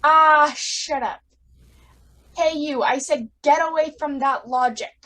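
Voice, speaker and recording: A woman's voice: high voice, slow, pushed and bright, full character voice, low-quality recording. A woman playing a bratty, defiant kid in an old-time radio comedy, sulky, demanding and blunt. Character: bratty, defiant kid named Freddy with a blowgun.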